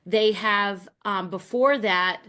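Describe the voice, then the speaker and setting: Female American academic guest, middle-aged, average pitch, informal explanatory talk. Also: slow pace, sharp tone, clean tone. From Rhode Island and Massachusetts, United States. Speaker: guest, college professor and team coach. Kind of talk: conversational explanation.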